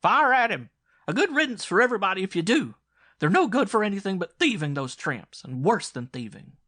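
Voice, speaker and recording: A spiteful country wife in a horror tale, acted by a man, merciless and scornful. The voice is hard, sharp and loud, pushed far up in pitch. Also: sing-song, a little fast, dry recording, clean studio sound, exaggerated voice. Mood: vicious, scornful. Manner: shrill, harsh calling out. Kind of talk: audiobook horror story acting.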